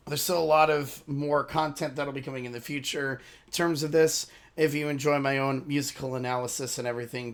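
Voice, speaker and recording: A man hosting a reaction channel, talking casually to his viewers with a hoarse voice, rambling and apologizing as he loses his train of thought. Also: mid-range voice, fairly bright tone. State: hoarse from a lost voice.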